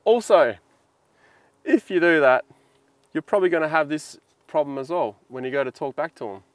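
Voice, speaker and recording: Clear-voiced Australian man explaining informally. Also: a little high, animated, normal speed, no echo. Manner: plain-spoken, colloquial, practical. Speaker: instructional video presenter.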